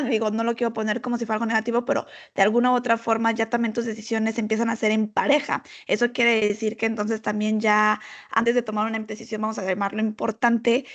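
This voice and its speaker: A woman podcast host asking long, hesitant, rambling questions. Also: a little high. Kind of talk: interviewing.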